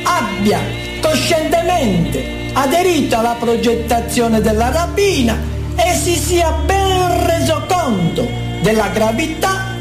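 Female narrator, high voice, formal and declamatory, echoey recording with light music underneath. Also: big pitch swings. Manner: formal, declamatory, deliberate phrasing. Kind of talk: dramatic scripted narration of court proceedings. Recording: echoey room with music behind.

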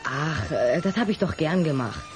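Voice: medium pitch, sing-song, moderate pace, faint music behind, close to an everyday voice. An actor playing an earnest, shy but brave young boy in a children's radio play. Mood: hesitant, gentle.

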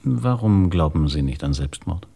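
An actor playing a weary, probing police inspector in a German radio crime drama, terse and matter-of-fact. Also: male voice, sits low, expressive, moderate pace, creaky, mellow, full character voice. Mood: probing, calm.

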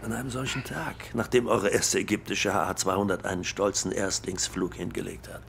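Chummy, gravel-voiced boastful engineer. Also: male voice, deep voice, steady tone, fairly quick, a bit bright, slight background noise. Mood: teasing. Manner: enthusiastic, rambling, repeats himself. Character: boastful, chummy, money-happy German engineer abroad. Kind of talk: naturalistic radio drama acting.

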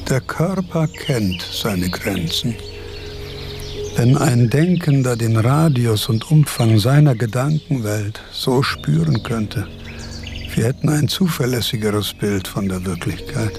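Creaky-voiced, pedantic philosopher. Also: male voice, mid-range voice, animated, music in the background. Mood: contemplative. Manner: formal, measured, scholarly diction. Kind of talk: German radio play acting.

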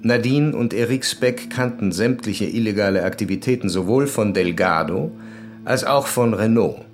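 Actor as a low-voiced, grim police commissaire. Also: male voice, moderate pace, natural voice. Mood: formal, insistent. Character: determined, grim male police commissaire, hard and methodical. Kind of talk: German crime radio drama, acted.

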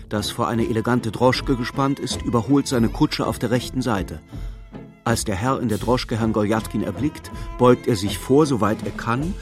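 A man narrating a radio play in scripted, literary present-tense prose. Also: medium pitch. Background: music.

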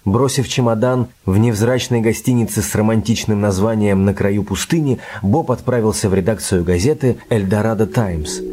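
A man's voice: lowish voice, moderate pace, few pauses, warm tone. A man narrating a scripted audio drama as storyteller.

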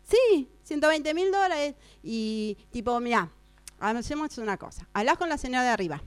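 A woman's voice: high voice, lively intonation, moderate pace, no echo. A woman acting a pushy, chatty upscale estate agent in an improvised radio drama, with an affected posh, slangy Buenos Aires accent. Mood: pushy, breezy. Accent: Buenos Aires Argentine. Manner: affected posh slangy talk, calls client 'gorda'. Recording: clear mains hum noise.

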